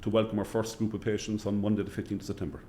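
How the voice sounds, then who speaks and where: Deep-voiced man with a Cork accent. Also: little pitch movement, mellow, a little hiss or hum. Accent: Cork Irish. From Cork, Ireland. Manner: measured, repeats phrases for emphasis. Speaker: hospital chief executive. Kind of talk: interview answers.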